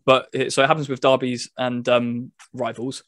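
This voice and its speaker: Casting: male gaming review host, average pitch, dry recording, informal and meandering delivery, somewhat hesitant. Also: little pitch movement, moderate pace, fairly bright tone, no echo. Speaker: video host reviewing a football game. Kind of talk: casual chat.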